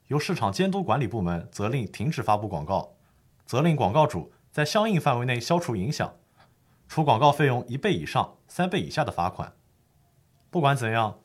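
A man's voice: medium pitch, slightly rough. A man playing an earnest lawyer who formally explains the law in a scripted educational radio drama. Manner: formal, didactic. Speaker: legal expert host.

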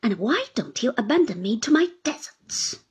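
Sullen shabby beggar man making grudging demands, breathy and lively in pitch, read out in a storybook by a woman. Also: moderate pace, full character voice. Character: shabby surly middle-aged man, a beggar.

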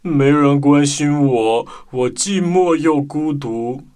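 Man playing a slow, moody big grizzly bear. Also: a little high, noisy background. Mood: gloomy, sulky. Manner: slow, grumbling, sighing. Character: gloomy, sulky, lonely big grizzly bear. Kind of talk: children's radio play acting.

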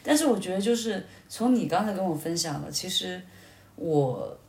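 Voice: medium pitch, animated, moderate pace, creaky, darkish tone. A conversation partner talking in a casual, chatty way. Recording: roomy echo, faint background noise.

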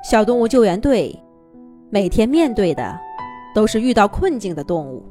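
Lively woman telling a children's story. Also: medium pitch, moderate pace, faint music behind. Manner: expressive, lilting narration.

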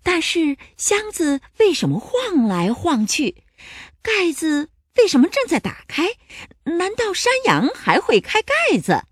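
A woman's voice: on the high side, big pitch swings, slow, pushed and bright, dry recording, studio quality, full character voice. Woman voicing a timid, hesitant storybook monster. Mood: puzzled. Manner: hesitant, stammering. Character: timid, slow-spoken male ugly monster who loves cooking.

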